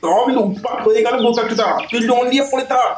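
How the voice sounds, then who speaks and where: A performer voicing a cantankerous, foul-mouthed older village father in a comic cartoon, scolding and bossy, with a pitched-up effect. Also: fairly even intonation, fast talker. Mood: angry, scolding. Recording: echoey, noisy cartoon soundtrack.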